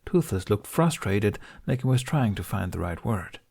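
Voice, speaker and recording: A man's voice: fairly low pitch, very animated, moderate pace, vocal fry, darkish tone, slightly rough. A man narrating fiction as an audiobook reader, reading from a script.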